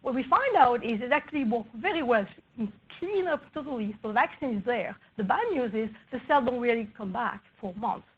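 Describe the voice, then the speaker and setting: Soft-spoken woman scientist, halting delivery. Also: lively intonation, breathy edge, phone-quality sound. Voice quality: soft, low-volume voice. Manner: hesitant, many fillers and restarts. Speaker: research scientist presenting at a workshop. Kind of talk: scientific talk presenting slides.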